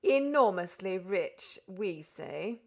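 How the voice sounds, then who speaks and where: A woman's voice: a little high, very animated, a bit bright, heavily acted. A woman playing a pompous condescending Englishman. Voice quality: pompous, slow, over-explaining. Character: pompous condescending middle-aged Englishman host.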